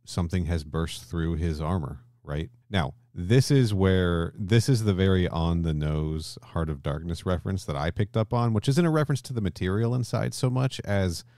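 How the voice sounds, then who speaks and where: A man with a very deep voice talks casually as a podcast co-host. The sound is close and dry. He explains in a halting way, often rewording his phrases as he goes. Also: dark tone, dry recording. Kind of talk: casual discussion.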